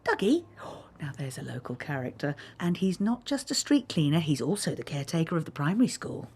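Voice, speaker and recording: An actress playing a talkative, prim and proud small-town historian with an English accent and quaint phrasing, in a radio drama. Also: female voice, low voice, big pitch swings, fairly quick, a little mellow, husky, heavily acted. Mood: welcoming. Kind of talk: naturalistic radio drama acting.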